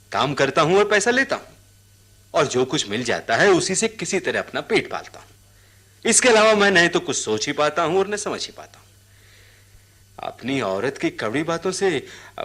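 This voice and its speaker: A poor, simple elephant-catcher in a radio play, pours out his troubles. His voice is husky and lively in pitch. He is plaintive and halting, circling back over his phrases. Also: exaggerated voice. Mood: weary, earnest. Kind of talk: radio drama acting.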